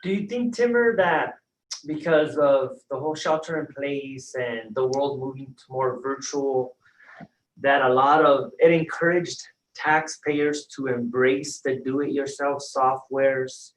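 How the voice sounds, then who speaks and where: A man's voice: mid-range voice, a little slow, slight background noise, poor audio. A man hosting a casual video call chat, easygoing and chatty as he introduces guests and asks questions. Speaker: host.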